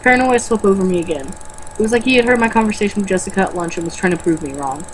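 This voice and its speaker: A woman's voice: mid-range voice, racing, a little hiss or hum. A woman reading a first-person novel aloud informally, occasionally stumbling over words and rereading them. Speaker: amateur reader in a comedic read-aloud video.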